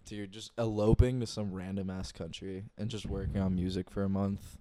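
A man's voice: sits low, level tone, moderate pace, dark tone, dry recording. A young man co-hosting a podcast in easygoing, casual chat. He mumbles a little and swears casually. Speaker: podcast co-host.

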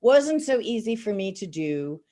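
A middle-aged woman voice actor with a raspy voice, talking in a casual, sarcastic, chatty way in an interview. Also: mid-range voice, sing-song, a little slow, dark tone. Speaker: voice actor and teacher.

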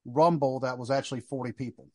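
A male podcast host with a mid-pitched voice, recorded dry and close to room-free. He talks casually and conversationally, offering opinions in a sports discussion. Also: steady tone, quick pace, no room sound.

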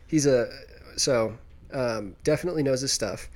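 A young man co-hosting a casual podcast chat, loud and rambling, with quick restarts. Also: medium pitch. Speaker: podcast co-host.

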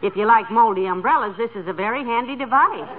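An actress playing a calm, dry-witted wife with a sarcastic manner, in 1940s radio comedy style. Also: female voice, fairly high pitch, quick pace, some chatter behind. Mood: wry. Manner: wry, sarcastic. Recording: tinny old radio broadcast with hiss.

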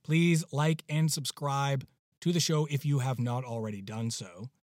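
A man's voice: mid-range voice, fairly even intonation, measured pace, no room sound, clean studio sound. A man speaking as a podcast host, giving a casual outro.

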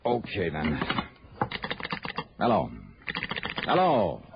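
An actor playing a skeptical, hard-boiled investigator in a 1960s radio drama, clipped and wry. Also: male voice, sits low, wildly swooping pitch, extremely slow, background noise, full character voice. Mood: urgent. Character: brisk, skeptical hard-boiled insurance investigator, narrating his case. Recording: muffled vintage radio recording with music.

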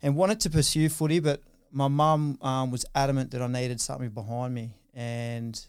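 An Australian man in his mid-thirties talks through his story as a podcast guest. He rambles, restarting sentences and filling gaps with ums and you-knows. His voice is smooth and clear, at a middling pitch, recorded dry and close. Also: steady tone, moderate pace, no echo. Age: 30s. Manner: rambling, many fillers and restarts. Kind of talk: casual interview.

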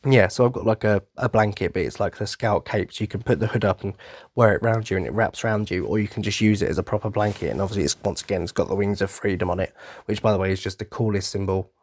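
A man hosting a casual fan podcast, tired and losing his voice, husky, rambling with lots of ums and restarts. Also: on the low side, fast, dry recording. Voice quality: husky, throat-clearing. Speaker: podcast host.

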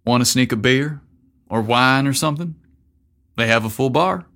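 A man voicing a casual, easygoing teenage quarterback in an audio story, relaxed and matter-of-fact. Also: medium pitch, normal speed, close to an everyday voice.

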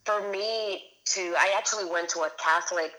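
A woman's voice: mid-range voice, moderate pace, bright, forceful tone, poor audio. A woman in her thirties from Venezuela, a filmmaker being interviewed, talking casually with many restarts and fillers. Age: 30s. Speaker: guest filmmaker.

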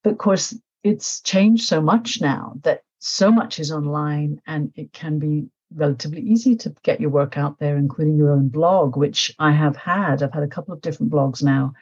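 A woman's voice: low-pitched, normal speed, hardly any pauses, a little mellow, husky, muffled recording. A middle-aged woman from Nepal, an author talking reflectively in a casual interview, with many fillers and restarts. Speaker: author, podcast guest.

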